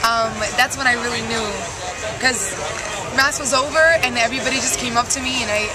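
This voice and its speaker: A woman's voice: on the high side, fairly even intonation, brisk, poor audio. A young woman from Colombia who grew up in Miami, talking casually as a musician guest with many fillers and laughs. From Colombia, raised in Miami. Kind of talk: casual interview. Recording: loud music and crowd noise. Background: loud music and crowd.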